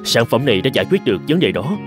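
A man's voice: mid-range voice, big pitch swings, very fast, over music, close to an everyday voice. A man voicing an earnest, persuasive boss of a young company in a cartoon, speaking politely. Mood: explaining. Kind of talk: dubbed cartoon dialogue.

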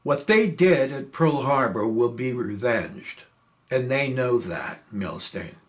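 A man's voice: medium pitch, animated, slow pace. A man reading a play aloud, voicing a firm, commanding male squadron chief.

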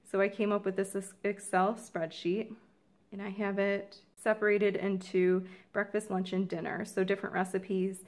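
A woman's voice: mid-range voice, level tone, normal speed. A woman in her twenties, chatting casually and upbeat in a home how-to vlog, with lots of ums and likes. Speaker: vlogger. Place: home room indoors, then a desk.

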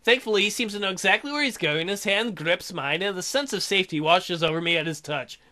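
A man's voice: sits high, a little fast, bright, forceful tone. A man voicing an eager young woman diver, the smitten, gushing heroine of a romance visual novel. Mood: awed.

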